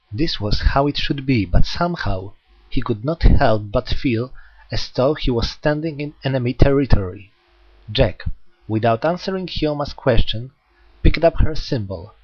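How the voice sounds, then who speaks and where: A man's voice: medium pitch, slightly rough, lo-fi sound. A man reading a light novel aloud as an amateur audiobook narrator.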